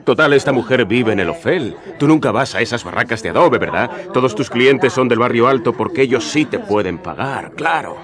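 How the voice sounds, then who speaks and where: An actor playing a bold, sardonic Galilean prophet in a colloquial radio drama, speaking pointedly and tauntingly. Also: male voice, mid-range voice, expressive, fairly quick, few pauses, lightly acted. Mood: sardonic, challenging. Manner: pointed, taunting rhetorical questions. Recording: crowd noise behind the voice.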